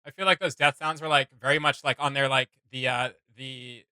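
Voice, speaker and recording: A woman's voice: rumbling low, fairly even intonation, fairly quick, sharp tone, no room sound. A woman chatting casually as a reaction video host, informal and enthusiastic, feeling her way through her thoughts.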